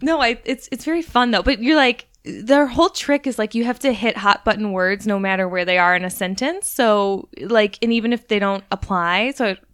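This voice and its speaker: A woman's voice: mid-range voice, moderate pace, few pauses, a bit bright, clean tone. A young woman hosting a comedy podcast, chatty and upbeat, with a cold. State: has a cold. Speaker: podcast host. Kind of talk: casual interview chat.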